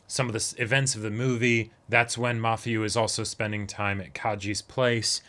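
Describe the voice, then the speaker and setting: Male co-host with a slightly low voice, relaxed episode discussion, agreeable and conversational. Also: moderate pace. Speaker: co-host of an anime reaction show. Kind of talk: casual chat, episode discussion.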